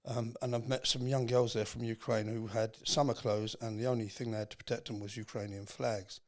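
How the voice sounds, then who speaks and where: An English man speaking as a podcast guest, telling a personal story in an earnest, halting, reflective way. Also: on the low side, level tone. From England.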